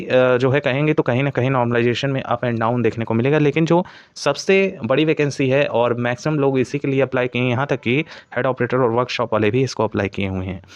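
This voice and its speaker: A man's voice: mid-range voice, no echo. Man explaining, dull dry recording. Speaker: exam coaching presenter. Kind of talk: informal explainer of exam analysis.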